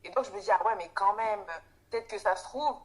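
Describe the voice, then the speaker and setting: A woman's voice: mid-range voice, brisk. A woman in her twenties from Haiti, a guest in a casual chat, talking with lots of laughter and interjections. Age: 20s. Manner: laughing, animated, full of interjections.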